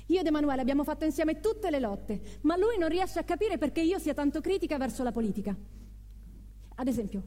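Woman acting reflective activist mother, high mellow voice, halting theatrical monologue, long reverb. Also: natural voice. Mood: exasperated, questioning. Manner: pensive, halting, repeating phrases. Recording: reverberant hall, music behind.

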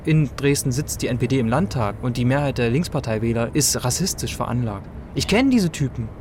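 A man's voice: medium pitch, lively intonation, brisk. A man from East Germany arguing casually, insistent and slangy. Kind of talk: casual argumentative chat. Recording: clear vehicle and street noise.

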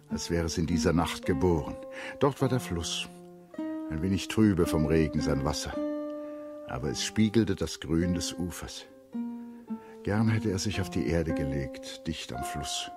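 Male narrator for literary radio drama: low voice, measured phrasing, expressive, set over prominent music.

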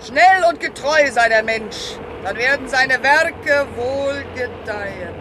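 An actress playing a bossy, gruff female whaling captain with a pompously poetic streak in a satirical radio play. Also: high-pitched, expressive, takes it slowly, background noise. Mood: solemn, sentimental.

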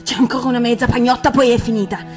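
A woman voicing a harsh, scolding stepmother in a fairy tale read aloud on radio, with a shrill, drawn-out delivery. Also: steady tone, natural voice. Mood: cold. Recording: guitar music underneath.

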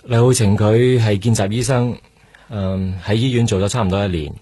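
An actor with a Hong Kong accent playing a dutiful, deferential junior detective with a husky voice in a radio drama. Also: male voice, on the low side, steady tone, normal speed, dark tone. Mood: matter-of-fact.

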